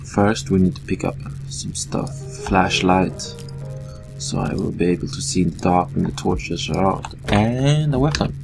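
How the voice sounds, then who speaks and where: A man with a Swedish accent giving relaxed let's-play commentary, thinking aloud as he plays. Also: deep voice, vocal fry, music in the background. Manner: relaxed, thinking aloud while playing, slow with fillers. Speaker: gameplay commentator.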